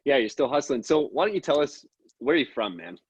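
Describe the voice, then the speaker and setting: A man's voice: mid-range voice, sing-song, brisk, husky, no room sound. A male podcast host who asks questions in a laid-back way, often calling his guest "man" and "dude". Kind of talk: casual interview questions. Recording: dry muffled podcast audio.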